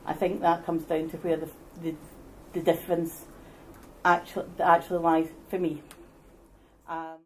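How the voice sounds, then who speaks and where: Hesitant low-voiced woman at a debate. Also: level tone, a little hiss or hum, rough recording. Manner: hesitant, halting, with many restarts. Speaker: debate speaker against independence. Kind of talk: public debate speech.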